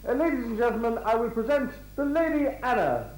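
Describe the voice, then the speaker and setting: Man acting a pompous, irritable royal, lively swinging pitch, formal pantomime delivery, echoey stage recording. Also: a little fast.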